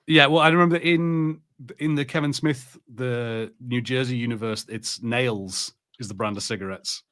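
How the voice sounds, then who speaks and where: A man co-hosting a podcast in casual watch party chat, halting and self-deprecating as he talks. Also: mid-range voice, a bit bright. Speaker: podcast co-host.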